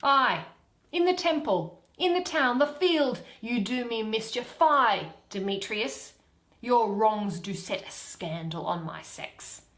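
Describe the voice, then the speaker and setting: A woman's voice: fairly high pitch, animated, takes it slowly, fairly bright tone, reverberant, pitched above the performer's own voice, exaggerated voice. A woman playing a devoted, stubborn young woman in love, imploring and impassioned in a dramatic Shakespeare reading. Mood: wounded, defiant.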